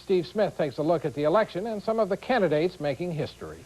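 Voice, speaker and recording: A man's voice: sits high, expressive, fast talker, darkish tone, poor audio. A man reading scripted introductions as a television news anchor.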